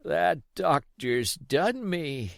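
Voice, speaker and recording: A man voicing a sick, desperate old pirate in a storybook, wheedling and blustering in rough sailor's dialect. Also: on the high side, very animated, extremely slow, dry recording, clean studio sound, voice pushed up, heavily acted. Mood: weak, faint. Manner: rough nautical dialect, pleading and cursing.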